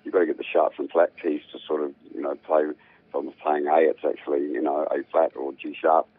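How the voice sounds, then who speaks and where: An older man, a musician, chatting in a rambling, relaxed way as a radio guest. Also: a bass voice, fairly quick, slightly rough, narrow, tinny audio. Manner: rambling, hums riffs aloud.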